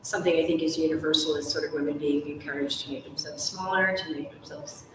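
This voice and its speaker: A woman's voice: low voice, steady tone, fast. A woman in her thirties hosting a casual live interview, chatty, rephrasing as she goes. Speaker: interview host. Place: large hotel room, indoors. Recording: very echoey room, distant mic, faint noise.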